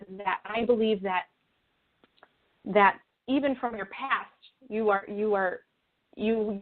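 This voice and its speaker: A woman interview guest explaining her views in an earnest, reflective, conversational way. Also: mid-range voice. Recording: tinny narrow-band phone or call line.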